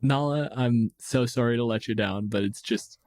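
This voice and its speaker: A man co-hosting a podcast, talking casually in a wry, measured, opinionated manner. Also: mid-range voice, darkish tone, no room sound, studio quality. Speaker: podcast host. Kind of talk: casual chat.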